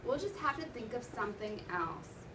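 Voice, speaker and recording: A woman's voice: a little high, very animated, normal speed. A woman voicing a kindly, firm male toy bear who encourages his friends in a children's storybook. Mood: encouraging. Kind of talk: storybook read-aloud for children. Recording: roomy, noisy classroom from far off.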